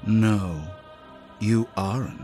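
An actor playing a calm, inhuman man in a horror audio drama, mildly amused and quietly menacing, speaking in a measured, softly taunting way. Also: on the low side, soft background music. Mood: quiet probing. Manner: slow, measured, softly taunting, dropping toward a whisper.

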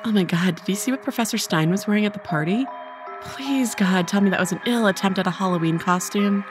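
Actress as chatty, persuasive young female student, mid-pitched, light music behind, audio drama. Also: brisk, close to an everyday voice. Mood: gossipy. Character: breezy, persuasive, gossipy female college friend.

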